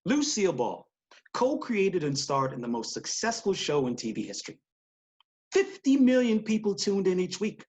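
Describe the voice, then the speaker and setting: An actor playing a wry, emphatic male TV studio executive in a screenplay table read. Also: high voice.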